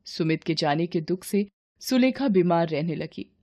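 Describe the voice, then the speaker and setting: A woman's voice: on the low side, lively intonation, measured pace, a little mellow, dry recording, clean studio sound. A woman narrating a scripted moral story as its storyteller.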